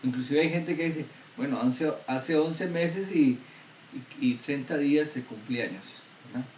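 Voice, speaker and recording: A man's voice: mid-range voice, a little slow, darkish tone. Man lecturing in an echoey classroom. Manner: explains step by step, asks students questions. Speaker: math lecturer. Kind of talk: university lecture. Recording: echoey room, distant dull mic, faint noise.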